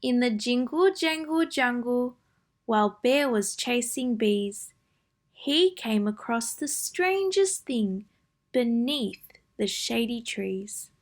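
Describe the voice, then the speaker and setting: A high-pitched woman narrates a rhyming picture book for children. She takes her time over each phrase, with a deliberate sing-song lilt. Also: expressive. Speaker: children's story reader. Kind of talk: read-aloud storybook narration.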